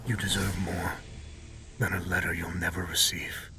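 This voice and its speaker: An actor playing an old, weary soldier reading a tender farewell in a breathy, solemn, intimate voice. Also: male voice, deep voice, flat delivery, normal speed, distant mic, noisy background, natural voice. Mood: tender, resigned. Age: older. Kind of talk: dramatic voice-over of a letter.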